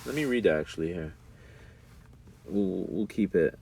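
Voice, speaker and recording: A young man talking casually to his viewers about marriage and faith, reading a passage aloud and breaking into slangy, animated asides. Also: low-pitched, moderate pace, creaky, slightly rough. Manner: animated, rises sharply when worked up. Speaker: streamer talking about religion and relationships. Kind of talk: casual monologue.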